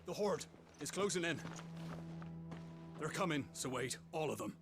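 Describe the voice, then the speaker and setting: A man's voice: fairly high pitch, lively intonation, a little slow. An actor playing an urgent soldier reporting to his commander in a fantasy video game. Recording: music clearly behind the voice.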